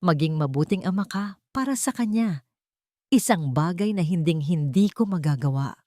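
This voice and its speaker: A woman's voice: fairly low pitch, lively intonation, moderate pace, dark tone, no room sound, clean studio sound, close to an everyday voice. Woman voicing a remorseful, resigned Filipino man. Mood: remorseful resignation. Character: cowardly, remorseful young man giving up fatherhood.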